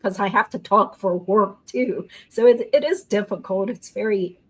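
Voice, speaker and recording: A woman's voice: medium pitch, moderate pace. A woman host, a lawyer, chatting casually in an upbeat way and explaining court matters. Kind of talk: casual chat and legal commentary.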